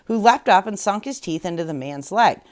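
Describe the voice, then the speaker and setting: A woman's voice: mid-range voice, animated, moderate pace, darkish tone. Woman storyteller reading a fairy tale aloud. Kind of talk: expressive read-aloud fairy tale narration.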